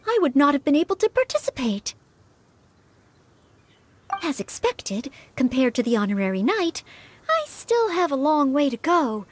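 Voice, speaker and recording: An actress playing a humble, earnest teenage maid knight-in-training in an anime-style game, polite, hesitant and eager to serve. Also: female voice, sits high.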